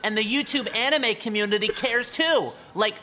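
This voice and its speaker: An actor playing a whiny, indignant, pedantic teenage anime nerd in a video game. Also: male voice, sits high, moderate pace, sharp tone, slight background noise. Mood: whiny, defensive. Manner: pedantic, flustered.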